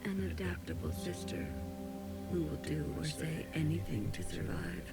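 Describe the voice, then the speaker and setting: Solemn, ceremonial game judge under loud music. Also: female voice, low voice, sing-song. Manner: formal, ceremonial, measured. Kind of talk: scripted video game dialogue.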